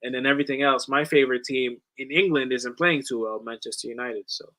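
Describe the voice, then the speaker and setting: A man in his late twenties talks sports in a casual, slangy way, dropping swear words and "bro" as he goes. His voice sits at a middle pitch with a bright, forward edge. He comes from the Netherlands and moved to America at ten. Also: steady tone, brisk. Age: late 20s. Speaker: sports watch-along streamer.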